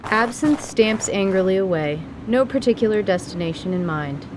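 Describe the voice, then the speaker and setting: A woman's voice: lively intonation, moderate pace, noisy background. A woman narrating a dramatized fantasy story from a script. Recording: rumbling background ambience.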